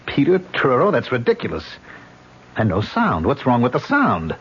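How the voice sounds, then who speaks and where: A man's voice: medium pitch, wildly swooping pitch, normal speed, slightly rough, heavily acted. An actor playing an agitated, disbelieving man in a radio drama, on a dull old radio recording. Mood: rattled. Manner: agitated, incredulous, talking to himself. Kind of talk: 1970s radio drama acting.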